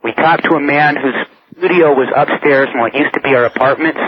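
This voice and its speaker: An American man with a husky voice tells a personal ghost story as a radio caller. His phone audio is muffled and lo-fi. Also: fairly high pitch, brisk. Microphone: telephone. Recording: dull lo-fi phone call to radio.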